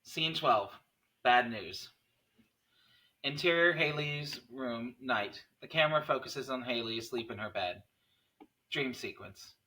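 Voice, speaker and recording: A man's voice: medium pitch, sharp tone, poor audio. A man reading scene headings and stage directions as narrator at a horror script table read.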